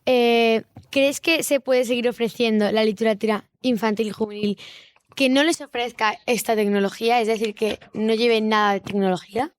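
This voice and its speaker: A woman's voice: a little high, fairly even intonation, moderate pace. A woman, hesitantly putting prepared questions as a volunteer interviewer.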